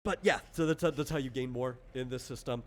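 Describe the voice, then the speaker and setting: A man's voice: mid-range voice, fairly quick. A man in the role of game master, casually explaining tabletop game rules in a rambling, conversational way with lots of asides.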